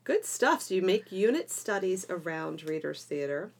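A woman's voice: fairly low pitch, sing-song, moderate pace, creaky, darkish tone. A middle-aged woman talking conversationally as a podcast co-host, explaining things with emphatic phrasing. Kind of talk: conversational educational podcast discussion.

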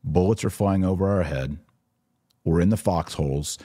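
Deep-voiced man, emphatic live commentary. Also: moderate pace, warm tone, no echo, clean studio sound. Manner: emphatic, repeats short phrases for stress. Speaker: podcast host and religious commentator. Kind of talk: live commentary answering viewer questions.

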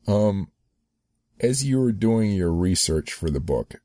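A man's voice: lowish voice, animated, slow pace, dark tone, dry recording, studio quality. A man interviewing an author, asking hesitant, rambling questions full of restarts.

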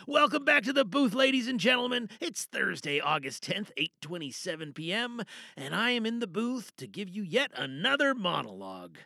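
A man's voice: high-pitched, vocal fry, sharp tone, no room sound. A man hosting a podcast from a booth in a showy announcer-like way, chatting casually and rambling to himself. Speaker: host. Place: recording booth.

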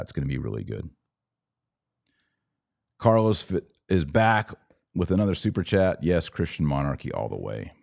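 A man's voice: extremely low pitch, warm tone. An American man hosting a podcast, giving emphatic, opinionated live commentary on politics and religion in short clipped phrases. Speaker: podcast host.

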